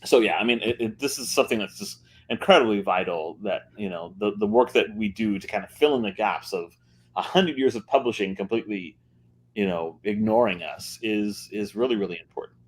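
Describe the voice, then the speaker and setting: A man's voice: lowish voice, brisk, breathy edge. A man author speaking earnestly on a book panel, repeating words as he thinks.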